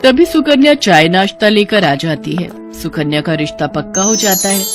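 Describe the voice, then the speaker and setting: A woman reads a cartoon moral story in a plain, steady scripted delivery. Her voice sits at a middle pitch, with loud music underneath. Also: normal speed. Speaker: narrator. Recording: music playing behind.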